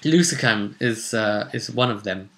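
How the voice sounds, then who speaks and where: A man's voice: on the low side, unhurried, fairly bright tone. A man recounting a tabletop roleplay session as game master, storytelling and musing aloud with rhetorical questions. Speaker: game master recapping a campaign.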